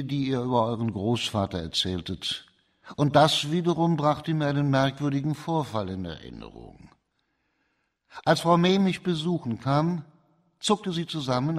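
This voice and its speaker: A man acting as a shrewd, composed magistrate in a radio crime drama, laying out his case in measured, formal German radio-play style. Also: mid-range voice. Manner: measured, methodical, formal, explanatory.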